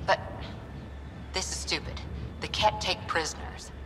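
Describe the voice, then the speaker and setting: An actress playing a composed, analytical female soldier officer who speaks briskly, in noisy game audio. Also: fairly low pitch, animated, big-room sound.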